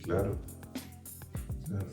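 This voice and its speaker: A man's voice: slow pace, warm tone. A man with an Argentine accent hosting an interview in a casual, chatty style. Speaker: interview host. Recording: distant, echoey mic with music behind.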